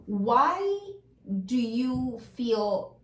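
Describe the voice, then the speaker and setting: A woman's voice: fairly high pitch, big pitch swings, very slow, a bit bright. A woman hosting a casual talk show, bubbly and chatty, laughing often. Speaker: host. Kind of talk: casual chat show interview. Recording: echoey room.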